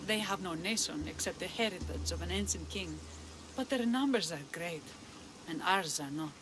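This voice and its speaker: A woman's voice: on the low side, lively intonation, normal speed, over music, lightly acted. Woman playing a grave, worried Greek village magistrate. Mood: grave, anxious. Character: worried, dignified female village magistrate of ancient Greece. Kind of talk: video game cutscene acting.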